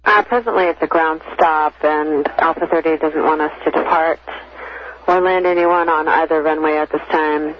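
A man's voice: fairly high pitch, steady tone, moderate pace. A man working as an air traffic controller, talking to pilots over aviation radio in a courteous, explanatory manner. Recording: muffled aviation radio, faint static.

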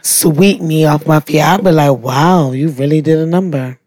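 A frank woman with a somewhat low voice, talking plainly in a casual group chat. She sounds dismissive and tired of other people. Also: moderate pace, a little mellow.

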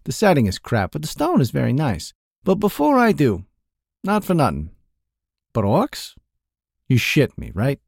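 A man's voice: mid-range voice, wildly swooping pitch, unhurried, gravelly, pauses often, slightly rough, no room sound, studio quality, pitched above the performer's own voice, exaggerated voice. A man voicing a blunt, crude, skeptical middle-aged male shopkeeper who talks with incredulity in a storybook reading. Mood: gruff, incredulous.

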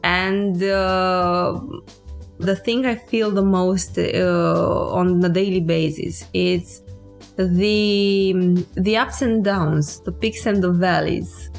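Reflective female co-host, low register, gravelly texture, casual chat. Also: fairly even intonation, extremely slow, soft background music. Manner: reflective, searching for words. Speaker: podcast co-host. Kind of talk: casual podcast conversation.

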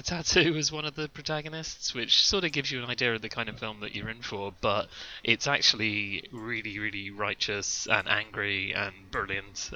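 Male panelist, bright forceful tone, blunt and animated. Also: fairly low pitch, highs cut off. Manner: blunt, animated opinions with laughter. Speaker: genre writer, podcast panelist. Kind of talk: casual podcast chat.

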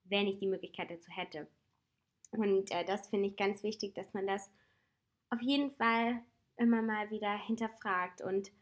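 A woman in her twenties with a slight cold talks in a relaxed, personal way. She is reflective and chatty and laughs softly now and then. Her voice sits at an ordinary pitch for a woman. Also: moderate pace. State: has a cold. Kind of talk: casual personal advice talk.

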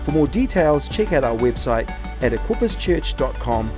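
A man delivers a slow, scripted church welcome, his pitch moving up and down with life. Clear music plays behind him the whole time. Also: sing-song. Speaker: announcer.

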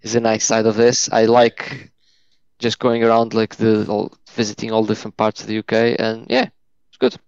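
A man's voice: on the low side, flat delivery, normal speed, a little mellow, clean tone, dry recording. A man from Portugal, a Portuguese native, chatting as a podcast guest, hesitant with many fillers and restarts. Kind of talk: casual chat. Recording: dull lo-fi dry sound.

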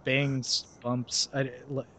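A man's voice: medium pitch, little pitch movement, takes it slowly, fairly bright tone. A young man hosting a comedy podcast, reading a film synopsis aloud and breaking off into laughter and sarcastic asides. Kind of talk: casual comedic podcast chat.

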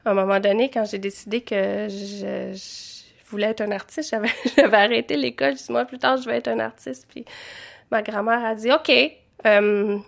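A woman's voice: mid-range voice, steady tone, normal speed, vocal fry. A woman in her thirties with a Quebec French accent, recounting her life in an interview with many hesitations. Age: 30s. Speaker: interviewee, visual artist. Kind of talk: interview storytelling.

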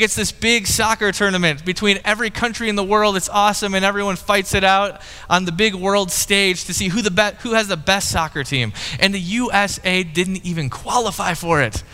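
A man's voice: high-pitched, pushed and bright, clean tone, big-room sound. A man in his thirties preaching a sermon in a candid, conversational way, often restarting his sentences. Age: 30s. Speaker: pastor.

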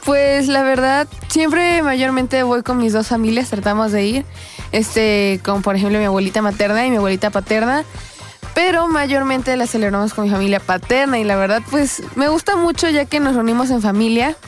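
A teenage girl, chatting on a school radio show, hesitant and filling pauses as she talks. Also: fairly high pitch, faint music behind. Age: teens. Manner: hesitant, lots of fillers. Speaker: student radio co-host. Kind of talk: casual radio chat.

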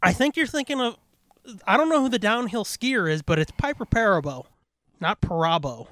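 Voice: mid-range voice, lively intonation, moderate pace, fairly bright tone, no echo. A podcast co-host bantering casually about movies, slangy and foul-mouthed, with a jokingly combative way of talking.

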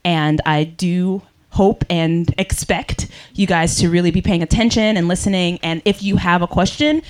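A woman's voice: fairly low pitch, fairly even intonation, moderate pace. A woman moderating a panel discussion in front of an audience, speaking earnestly into a handheld microphone with frequent ums. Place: event room with audience.

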